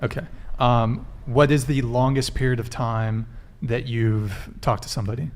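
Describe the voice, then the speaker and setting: A man hosting a casual panel talk show, asking quick follow-up questions and repeating answers back. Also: medium pitch, level tone, background noise.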